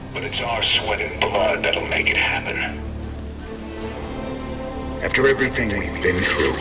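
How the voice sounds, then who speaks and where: Very deep-voiced Southern rebel commander. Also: male voice. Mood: solemn resolve. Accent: Southern American. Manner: earnest, rallying, folksy. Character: weary, determined male rebel commander with folksy grit. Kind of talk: dramatic video game voice acting. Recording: loud music and rain behind.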